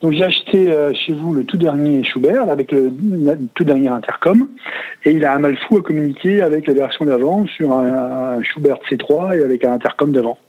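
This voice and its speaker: A man's voice: fairly high pitch, fairly quick. A man giving casual feedback as a customer, explaining in a hesitant, repetitive, roundabout way. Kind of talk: casual spoken phone feedback. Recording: tinny, narrow phone line.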